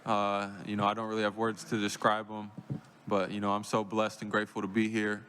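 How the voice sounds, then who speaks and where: A young man answering reporters at a press conference, calm, with many "uh" and "you know" fillers. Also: fairly low pitch, fairly quick, a little hiss or hum. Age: young adult. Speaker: draft pick at press conference.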